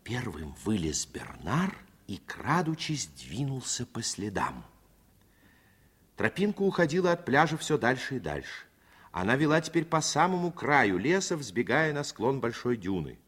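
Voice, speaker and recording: A middle-aged man narrating a 1950s radio play in a reflective, measured manner. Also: mid-range voice, very animated, a bit bright, breathy edge.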